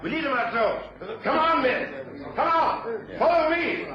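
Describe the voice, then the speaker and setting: A man playing a blustering, bossy country squire shouting orders in a radio drama. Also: extremely high pitch, expressive, a little slow. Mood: irate. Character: Sir Rodney, blustering, irate, bossy country squire shooting host. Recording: lo-fi, roomy radio recording, crowd behind.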